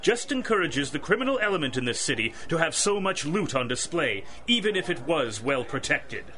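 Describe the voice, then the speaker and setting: A man's voice: fairly high pitch, big pitch swings, a little fast, pushed and bright. A man playing a blustery, defensive police chief in 1930s-style radio drama, officious and blunt. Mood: defensive, stern.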